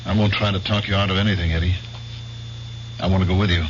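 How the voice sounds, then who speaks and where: A man's voice: deep voice, fairly even intonation, fast, natural voice. Man acting a troubled veteran, muffled noisy radio sound. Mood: troubled, earnest. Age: 30s. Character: troubled, guilt-ridden war veteran man near forty. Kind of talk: old-time radio drama acting. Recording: muffled, noisy radio broadcast recording, humming.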